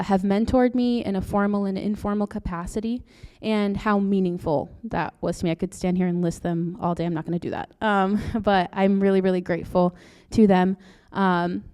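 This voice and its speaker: A soft-spoken young woman from Brazil, a doctoral student giving an earnest presentation into a microphone in a lecture room. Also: medium pitch, smooth. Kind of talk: conference presentation. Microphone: handheld or podium mic.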